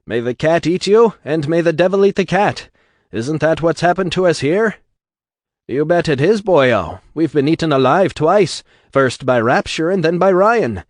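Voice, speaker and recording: A man's voice: on the high side, animated, moderate pace, no room sound, clean studio sound, pitched above the performer's own voice, close to an everyday voice. A man reading an audiobook voices a fiery male agitator with an Irish brogue, bellowing to a crowd. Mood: rousing.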